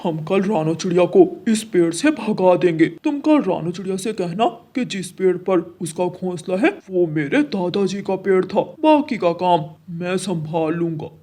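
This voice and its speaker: A woman's voice: lowish voice, expressive, normal speed, hardly any pauses, warm tone. A woman voicing a lazy, scheming male crow in a cartoon, with a bossy, matter-of-fact manner.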